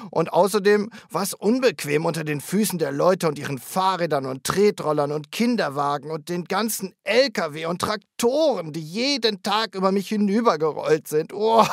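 Higher-pitched, lively cartoon zebra. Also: male voice, gravelly, no echo, studio quality. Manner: hesitant, rambling, childlike enthusiasm. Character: shy yet proud, friendly talking zebra, former crosswalk. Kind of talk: children's audio drama acting.

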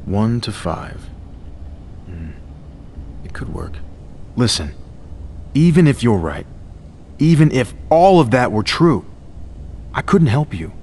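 An actor playing a wary, cynical male vampire in hiding, gruff and dismissive, in a video game cutscene. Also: mid-range voice, very animated, takes it slowly, pauses often, a little mellow, slight background noise. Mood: guarded, agitated.

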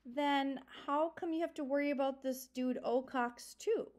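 A woman's voice: sits high, fairly even intonation, a little slow, darkish tone, voice pushed up. A woman doing a pushy, curious young mouse. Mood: pressing. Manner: persistent, pushy questioning. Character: curious young male golden mouse, brash outsider. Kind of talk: storybook reading.

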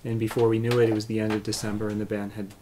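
A man in his thirties speaking reflectively in a documentary interview, pausing to find words. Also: on the low side, monotone, dark tone, a little hiss or hum. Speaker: adoptive parent, interviewee. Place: living room, indoors.

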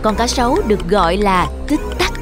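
A woman's voice: medium pitch, animated, fairly quick. A woman narrating a scripted fairy tale for children as the storyteller. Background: music.

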